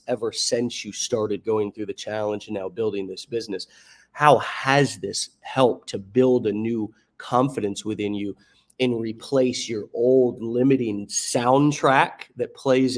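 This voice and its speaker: An American man hosting a motivational interview, talking in a reflective, halting way with frequent restarts. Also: medium pitch. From United States. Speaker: podcast host.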